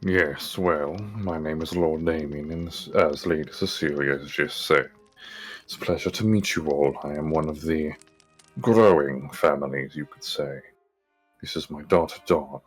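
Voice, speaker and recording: A man's voice: rumbling low, unhurried, faint music behind. A man playing a formal, gracious vampire nobleman, polite and stately, in a Minecraft roleplay.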